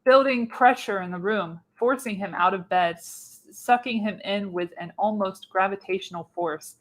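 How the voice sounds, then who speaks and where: A woman's voice: medium pitch, moderate pace, poor audio. A woman narrating a radio play script, reading stage directions with dramatic delivery. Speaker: narrator, show host.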